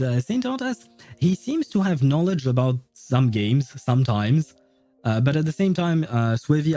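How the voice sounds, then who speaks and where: A man with a soft, mellow tone chats casually to his stream viewers. His phrasing is halting and chuckly, and the close, dry sound sits over background music. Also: medium pitch, no echo. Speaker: game livestreamer.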